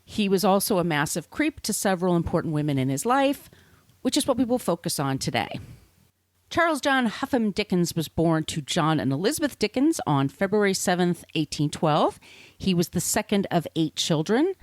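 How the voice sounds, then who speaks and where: A woman's voice: on the low side, lively intonation, normal speed, dry recording. A woman hosting a podcast, reading scripted narration with casual, irreverent asides. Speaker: podcast host.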